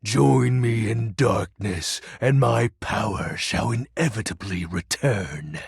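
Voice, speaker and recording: An actor voicing a melodramatic, brooding game character, a personification of darkness who talks in pompous, theatrical, archaic phrases with a gravelly, husky voice. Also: male voice, on the low side, takes it slowly, no room sound, full character voice. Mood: proud, pompous. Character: melodramatic brooding Lord of Shadows, personified darkness, secretly dorky. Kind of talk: video game character voice acting.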